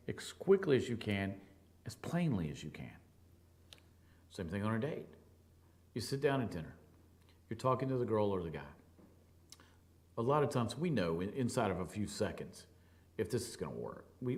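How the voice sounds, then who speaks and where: A talk show host speaking in a booming baritone. He talks in an emphatic, conversational way and slips into quick voices for the people in his stories. His pitch sits in an ordinary middle range for a man. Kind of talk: casual talk show monologue.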